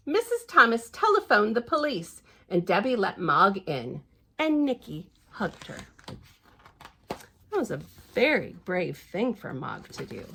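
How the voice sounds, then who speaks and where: A woman reading a picture book aloud to children, expressive and playful, with gentle little asides. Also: mid-range voice, a little slow, creaky, slightly rough. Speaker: library storytime reader.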